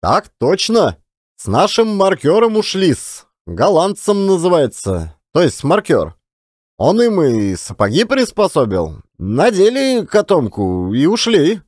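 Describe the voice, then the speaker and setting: A man's voice: fairly high pitch, huge pitch swings, slow pace, a little mellow, no room sound, studio quality, exaggerated voice. A man voicing a matter-of-fact hotel servant with servile, old-fashioned politeness, in an audiobook. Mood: deferential reporting. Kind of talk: dramatised audiobook.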